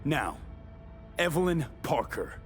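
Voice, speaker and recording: An actor playing a tough, determined streetwise mercenary in a video game, direct and insistent. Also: male voice, on the high side, sing-song, slow pace, soft background music. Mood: pressing, stern. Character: tough, determined male mercenary pressing for answers. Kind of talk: dramatic video game acting.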